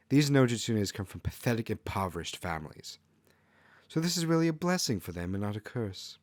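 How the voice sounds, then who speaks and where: A man's voice: lowish voice, a little mellow, no echo. Man voicing an arrogant, callous fox kitsune woman. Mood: cold, dismissive. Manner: haughty, coldly dismissive.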